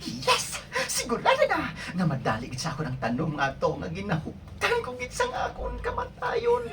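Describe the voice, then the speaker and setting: A scheming young thief acted by a woman with a melodramatic, hugely swinging voice and a Visayan Filipino accent. The lines are emphatic and covetous, radio-drama style, recorded away from the mic with clear background noise. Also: a little high, wildly swooping pitch, normal speed. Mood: gleeful, scheming. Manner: melodramatic, emphatic, repeating phrases. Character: gleeful, scheming young student thief who covets others' things. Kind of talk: amateur radio drama acting. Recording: distant mic, noisy background.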